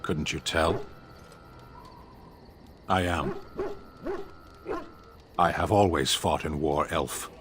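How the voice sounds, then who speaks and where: An actor playing a blunt, dutiful warrior who speaks in a flat, terse, literal way, with game music behind him. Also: male voice, very deep voice, extremely slow, long pauses, noisy background, full character voice. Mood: stern. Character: stern giant male warrior, blunt and dutiful.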